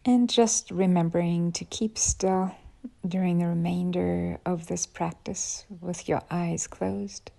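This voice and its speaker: A woman guiding a yoga nidra meditation as a teacher, with soft, breathy phrase endings and unhurried pauses. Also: lowish voice, steady tone. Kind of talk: guided meditation.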